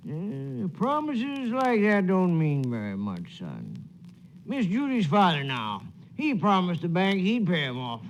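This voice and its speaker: An actor playing a folksy, skeptical old cowhand with a drawling colloquial manner in a 1940s radio western. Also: male voice, sits high, wildly swooping pitch, unhurried, heavily acted. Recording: dull, slightly noisy 1940s radio recording.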